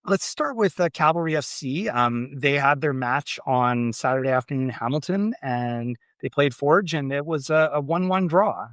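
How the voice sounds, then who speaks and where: A man's voice: on the high side, expressive, normal speed, few pauses, a bit bright, dry recording, clean studio sound. Chatty man hosting a sports podcast. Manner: chatty, friendly recapping. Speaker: podcast host. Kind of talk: casual sports chat.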